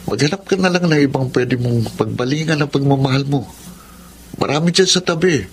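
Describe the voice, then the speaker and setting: A man's voice: mid-range voice, brisk, slight background noise, lightly acted. Blunt, cocky young Filipino man, acted. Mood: insistent advising. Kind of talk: Filipino radio drama acting.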